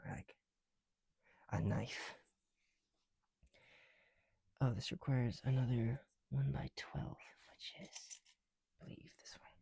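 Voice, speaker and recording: A young man chatting casually in soft, breathy ASMR style, often dropping to a whisper, slightly breathless behind a mask, with a rambling, self-deprecating manner. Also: mid-range voice, expressive, slow, pauses often, dry recording, clean studio sound. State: somewhat breathless through a heavy mask. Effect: speaking through a mask. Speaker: ASMR video creator. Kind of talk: ASMR, casual chat while building bricks. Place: indoors, at home.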